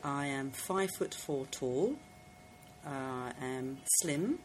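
A woman from London, speaking deliberately about herself. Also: a bass voice, a little hiss or hum. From London, England. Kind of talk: self-introduction.

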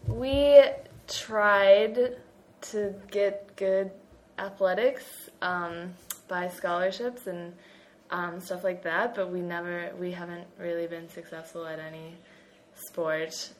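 A woman's voice: on the low side, steady tone, slow, a little hiss or hum. Young American woman asking questions. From United States. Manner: asks questions, laughs. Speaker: interviewer. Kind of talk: casual chat.

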